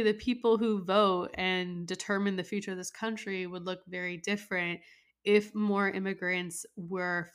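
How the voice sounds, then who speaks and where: A woman's voice: medium pitch, a bit bright. A woman hosting a finance interview podcast, talking in an upbeat, conversational way with the odd "um". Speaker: podcast host.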